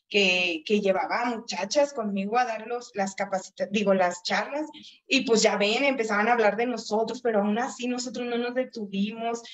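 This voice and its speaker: Late-thirties female trainer, talkative and personal, slightly high voice, dull lo-fi video-call audio. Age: 30s. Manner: chatty, asks and answers her own questions. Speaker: network marketing trainer. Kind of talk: motivational training talk over video call.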